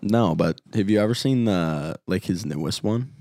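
Deep gravelly guy, dry sound. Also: animated, moderate pace, warm tone, no room sound. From United States. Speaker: podcast co-host. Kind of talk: casual chat.

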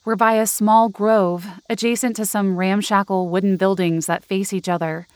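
A woman's voice: mid-range voice, steady tone, moderate pace, no room sound, studio quality, natural voice. A young woman playing an anxious but determined narrator in a supernatural audio drama, earnest and descriptive. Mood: uneasy, hushed. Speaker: narrator and lead character.

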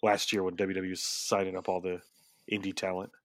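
A man's voice: low-pitched, level tone, brisk, a bit bright, dry recording, clean studio sound. A man co-hosting a podcast, sounding nasal and sniffly from allergies, easygoing and agreeable. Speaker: podcast co-host. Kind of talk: casual chat.

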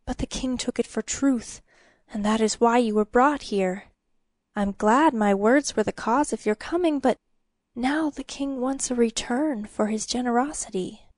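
A woman's voice: a little high, unhurried, a little mellow, no echo, natural voice. A woman voicing an earnest, anxious young knight in a storybook tale, pleading and urgent. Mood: hushed, anxious.

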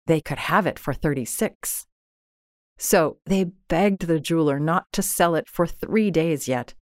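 A woman narrating a literary short story in a measured, expressive, scripted style. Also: deep voice, dry recording, studio quality.

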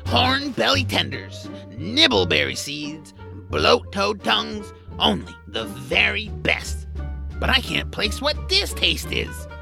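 A woman voicing a cranky, eccentric male troll cook in a family audio drama, with a gravelly voice and an excitable, grumbling, theatrical manner. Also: medium pitch, slow, music in the background, full character voice. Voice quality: gravelly, creaky.

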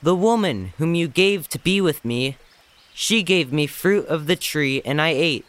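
A man playing Adam, an innocent and earnest first man, in an expressive Bible audio drama performance. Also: fairly high pitch, measured pace. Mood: afraid, defensive.

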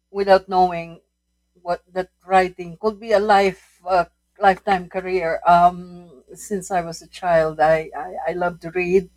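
A woman in her sixties, a food writer and guest, recalling her life at a halting pace with frequent pauses and restarts. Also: mid-range voice, a little mellow, rough recording. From Philippines. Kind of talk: casual interview.

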